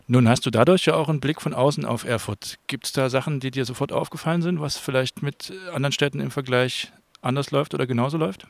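A man's voice: mid-range voice, fast talker, gravelly, hardly any pauses, dry recording. A man working as an interviewer, asking questions in a casual, informal way.

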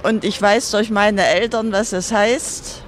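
Älterer Mann, hohe Stimme, langsam sprechend. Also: male voice, sits high, fairly even intonation, takes it slowly, fairly bright tone. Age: older. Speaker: protest attendee interviewed. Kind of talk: spontaneous street interview. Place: outdoors on a city street. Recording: outdoor street noise, traffic.

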